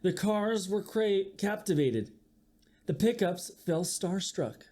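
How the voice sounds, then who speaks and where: A teacher reading a picture book aloud to young listeners, expressively and with chatty asides. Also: medium pitch. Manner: slow, expressive, with acted-out sound effects. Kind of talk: children's story read-aloud with asides to listeners.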